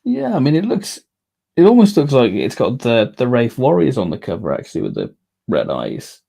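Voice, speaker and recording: Male co-host, expressive range with some fry, opinionated and informal fan chatter. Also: mid-range voice, moderate pace. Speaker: co-host of a fan discussion stream. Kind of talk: casual fan chat.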